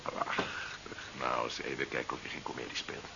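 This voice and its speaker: An actor playing a brusque, impatient, sardonic sci-fi hero in a seventies radio drama. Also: male voice, a bass voice, completely flat delivery, fairly quick, gravelly. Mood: cold menace. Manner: curt, commanding, sardonic. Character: brusque, impatient male sci-fi hero pursuing his wife. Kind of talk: 1970s Dutch radio drama acting. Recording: dull, hissy 1970s radio recording.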